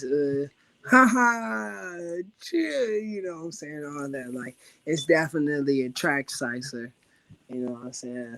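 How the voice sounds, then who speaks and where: A man from Washington, D.C. with a raspy voice, talking about his music as a guest in a casual, laid-back interview full of filler phrases. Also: medium pitch, dry recording. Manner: laid-back, loose, full of "you know what I'm saying". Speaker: rapper guest.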